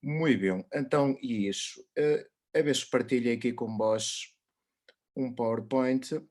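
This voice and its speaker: A man's voice: medium pitch, a little slow. Man speaking casually in a video-call talk. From Miranda do Douro, northeast Portugal. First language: Mirandese. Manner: relaxed, loose, frequent hesitations and restarts. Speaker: teacher giving a conference talk. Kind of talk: informal lecture.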